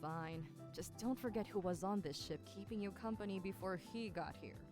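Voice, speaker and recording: A woman's voice: mid-range voice, normal speed, lightly acted. An actress voicing a protective, teasing young woman, a loyal best friend in a visual novel game, speaking in a wry, casual way. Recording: background music under the voice.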